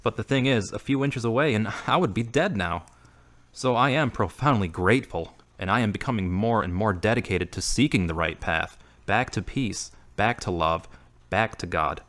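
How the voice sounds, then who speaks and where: A man's voice: fairly low pitch, animated, moderate pace, fairly bright tone. A man playing an earnest, grieving former carpenter who is seeking faith again, in an amateur audio drama. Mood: somber, earnest.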